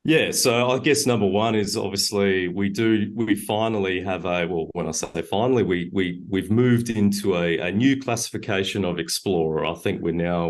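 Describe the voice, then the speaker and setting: A man, a mining company director, answering interview questions in a measured way with frequent fillers and self-corrections. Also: deep voice.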